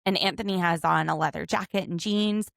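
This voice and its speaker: A woman in her thirties hosting a casual podcast from home, chatty and quick to laugh as she picks apart a reality show. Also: lowish voice, fairly even intonation, vocal fry, fairly bright tone, dry recording, clean studio sound. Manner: chatty, laughs often, overanalyzing. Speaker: podcast host, attorney.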